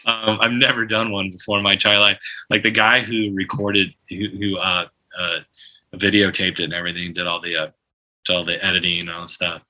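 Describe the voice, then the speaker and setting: A man's voice: sits low, flat delivery, a little fast, pushed and bright, low-quality recording. A man guest on a podcast, telling stories in a rambling way with many restarts and fillers. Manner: laughs while telling stories. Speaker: musician guest. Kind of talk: casual podcast conversation.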